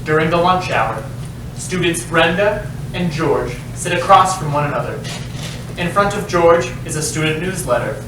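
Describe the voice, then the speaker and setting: A man's voice: fairly high pitch, animated, normal speed. A man reading stage directions as scripted narration at a staged play reading. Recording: echoey noisy room from far off.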